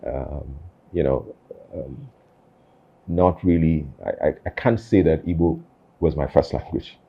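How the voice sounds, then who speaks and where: A man hosting an interview, speaking thoughtfully and haltingly, with many fillers and restarts. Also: very deep voice, creaky, breathy edge, lo-fi sound. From Nigeria. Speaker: podcast host. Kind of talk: reflective conversational interview.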